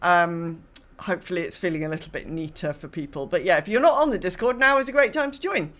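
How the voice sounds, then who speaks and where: A woman's voice: on the low side, quick pace, fairly bright tone. A woman hosting a podcast, chatting casually about gaming in a wry way and slipping into imagined quotes. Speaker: podcast host.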